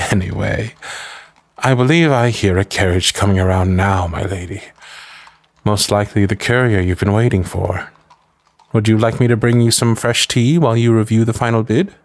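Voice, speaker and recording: A man playing a devoted, protective manservant to a noble lady in an ASMR roleplay, speaking formally, with measured deference and dry disapproval. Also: fairly low pitch, creaky, no echo. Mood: dry, attentive. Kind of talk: scripted ASMR roleplay acting.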